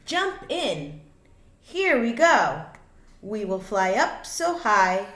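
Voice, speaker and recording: A woman reading a children's picture book aloud like a teacher, in an expressive, sing-song way with drawn-out words. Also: medium pitch, a little hiss or hum. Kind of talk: read-aloud children's storytelling. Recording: echoey room.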